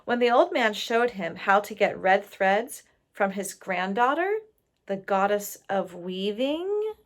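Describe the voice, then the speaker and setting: A woman's voice: mid-range voice, expressive. A woman reading a storybook aloud expressively to listeners, engaging them like a teacher. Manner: pauses to question listeners. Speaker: storybook reader.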